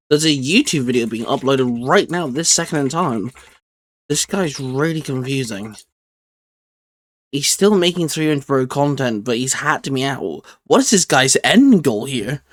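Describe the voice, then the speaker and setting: A man hosting casually, talking in an informal, hesitant, improvised way. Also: mid-range voice. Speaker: YouTube channel host. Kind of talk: casual, improvised skit and chat.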